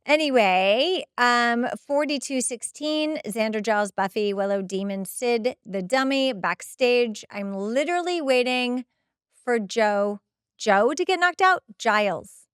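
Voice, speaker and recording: A middle-aged woman hosting a podcast, reading recap notes with casual, expressive and exasperated asides. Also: fairly high pitch, measured pace, clear, resonant tone, no room sound, studio quality. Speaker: podcast host.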